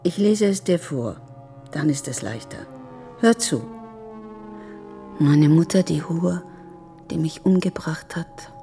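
A woman playing a calm, controlling, quietly menacing captor in a German radio drama, measured and unyielding. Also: low-pitched, gravelly, faint music behind, heavily acted.

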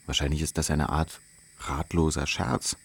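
A low-voiced, introspective narrator. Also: male voice, fairly even intonation, moderate pace, vocal fry, breathy edge, exaggerated voice. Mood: sober reflection. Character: sober, introspective manic-depressive narrator. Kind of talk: literary radio play monologue.

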